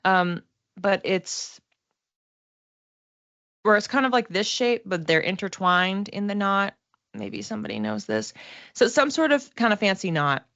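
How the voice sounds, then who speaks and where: A woman giving a casual livestream psychic reading, talking to viewers conversationally and thinking aloud in halting phrases. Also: medium pitch, a bit bright, no echo, dull audio. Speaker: psychic reader and class teacher.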